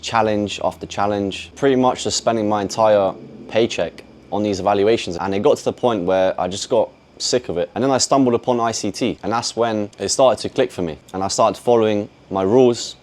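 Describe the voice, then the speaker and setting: A man in his twenties, from Poland and raised in the UK, answering interview questions in a relaxed, conversational way. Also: lowish voice, brisk. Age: 20s. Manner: relaxed, conversational, addresses viewers directly. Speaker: podcast guest, futures trader.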